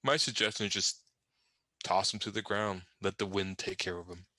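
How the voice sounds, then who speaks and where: Low, bright-voiced man, wry narrator. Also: little pitch movement, moderate pace, no echo. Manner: wry, rambling, conversational asides. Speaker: panel narrator in a group comic reading. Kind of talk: improvised humorous scene description.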